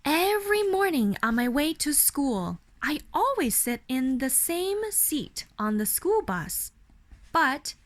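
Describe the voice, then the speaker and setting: A woman with a Chinese accent reads a children's picture book aloud expressively, as a parent would to a young child. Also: high voice. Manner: slow, animated storytelling for a young child. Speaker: parent reading a bedtime story to a child.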